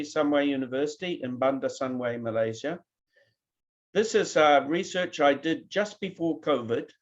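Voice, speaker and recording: A man's voice: fairly high pitch, highs cut off. A man with a New Zealand accent giving a keynote lecture to an online conference audience, now and then asking them to react. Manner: addresses a live online audience, asks for reactions. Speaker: keynote speaker.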